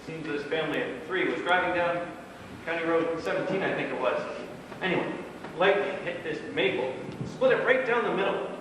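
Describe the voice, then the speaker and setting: A man's voice: fairly high pitch, animated, fairly quick, close to an everyday voice. A man acting a folksy, earnest father who rambles like a storyteller in an amateur stage play. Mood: earnest storytelling. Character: folksy father spinning grim storm tales, earnest and nosy. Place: small theatre stage, recorded from the audience. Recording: echoey theatre stage from far off, noisy.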